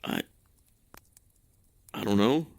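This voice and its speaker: An actor playing a troubled, guilt-ridden husband in an audio drama, recounting a memory in a halting, hesitant, brooding monologue. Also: male voice, lowish voice, huge pitch swings, vocal fry, a little mellow, exaggerated voice. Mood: anxious remembering.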